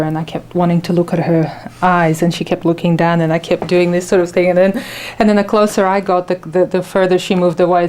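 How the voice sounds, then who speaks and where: A woman's voice: lowish voice, darkish tone. A middle-aged woman whose first language is Ukrainian, a radio station manager giving thoughtful interview answers with frequent fillers and restarts.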